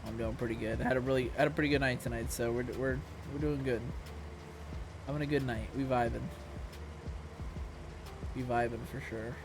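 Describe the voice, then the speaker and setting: A young man with a hoarse, scratchy voice, chatting casually with viewers during a live stream at home. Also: mid-range voice, steady tone, a little fast, pauses often. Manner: laid-back, chatty, talks to viewers. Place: room at home. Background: music playing.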